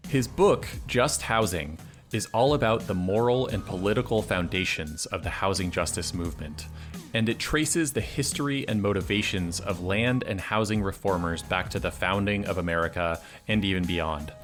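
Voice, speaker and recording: Podcast host, male, lowish voice, measured delivery, faint music under. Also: expressive, a bit bright. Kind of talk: scripted intro then casual interview.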